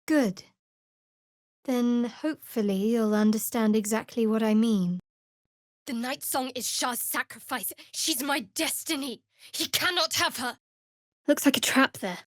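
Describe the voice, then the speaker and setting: A woman playing a guarded, sardonic young woman cleric of a dark goddess in a video game, with a dry manner. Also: on the high side, steady tone, unhurried, no echo. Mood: soft, confiding, then tense, bitter. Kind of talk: video game character voice acting.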